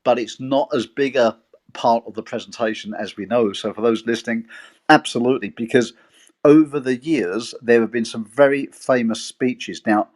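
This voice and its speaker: A man in an online discussion, telling a history story with animated rhetorical questions. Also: medium pitch, normal speed. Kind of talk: casual storytelling.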